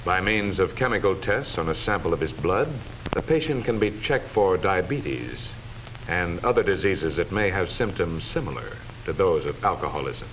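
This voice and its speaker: Deep-voiced American man, vintage film narrator. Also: lively intonation. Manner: formal, measured, authoritative delivery. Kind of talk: 1940s scripted educational film narration. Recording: dull vintage 1940s film soundtrack, faint noise.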